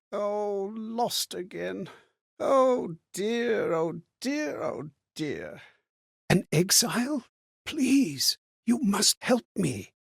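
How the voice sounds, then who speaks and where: An actor playing a frail, forgetful old man in a video game, anxious and kindly, with a hesitant, plaintive delivery and a husky, breathy voice. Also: high voice, no echo, clean studio sound, heavily acted. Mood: distressed, pleading, then anxious, pleading. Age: older. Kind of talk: theatrical video game character acting.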